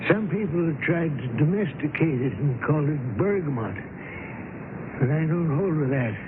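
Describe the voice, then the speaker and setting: A very old country gentleman played by a male actor speaks in a high voice with courteous, hesitant earnestness and deep conviction. It is radio drama, heard through a thin, tinny vintage broadcast with clear noise. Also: expressive, normal speed, natural voice. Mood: earnest, impassioned. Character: kindly, impassioned ninety-year-old nature-loving country gentleman. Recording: noisy, band-limited vintage radio broadcast.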